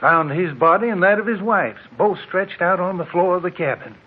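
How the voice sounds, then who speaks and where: Rustic old desert local, scoffing, knowing, rough voice, 1940s radio drama, tinny narrow sound. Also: male voice, high voice, animated, moderate pace. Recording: tinny vintage radio recording.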